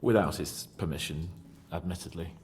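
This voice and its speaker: An actor playing a cocky young nobleman in a video game, lively and quick to take offence. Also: sits low, close to an everyday voice. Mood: sheepish banter. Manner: lively, indignant, shouts when riled.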